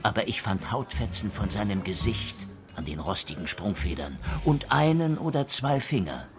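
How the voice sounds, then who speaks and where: A man's voice: fairly low pitch, big pitch swings, normal speed, over music. An actor playing a sardonic, menacing private detective in a noir radio drama, with a clipped tough-guy delivery. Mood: grim, accusing.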